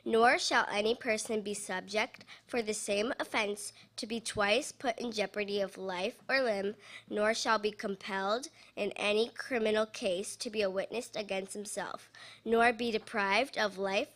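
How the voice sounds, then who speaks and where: A woman's voice: mid-range voice, a bit bright. A woman with a mid-range voice reads a formal document aloud. She delivers it as a reader at a public event. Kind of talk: reading a legal text aloud at a public event.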